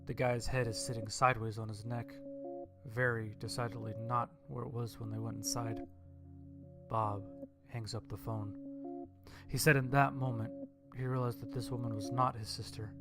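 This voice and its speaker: Man, horror story narrator, scripted reading, very level intonation, clear instrumental backing. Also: mid-range voice, flat delivery, moderate pace. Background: instrumental music.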